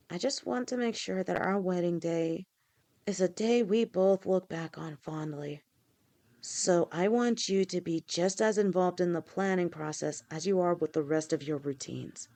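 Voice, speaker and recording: A woman's voice: on the low side, normal speed, close to an everyday voice. A woman voicing a loving lamia fiancée. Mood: tender. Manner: gentle, reassuring, affectionate. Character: loving female lamia fiancée, protective and reassuring. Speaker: voice actor. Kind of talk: audio roleplay.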